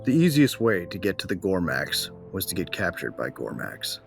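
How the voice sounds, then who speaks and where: A man's voice: low voice, wildly swooping pitch, normal speed, creaky, soft background music, heavily acted. A man playing a grieving, embittered bounty hunter who narrates his own story in an audio drama, brooding and terse. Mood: grim, wry.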